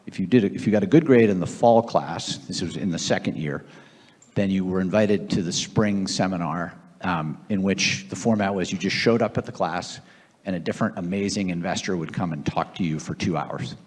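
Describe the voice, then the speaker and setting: A man in his fifties from Boston with an American accent, telling his own story as an interview guest in a measured, reflective way. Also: low-pitched, a little mellow. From Boston area, United States. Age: 50s. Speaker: guest, investor. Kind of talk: conversational personal storytelling on stage. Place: large event room at a gathering. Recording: echoey hall with long reverb.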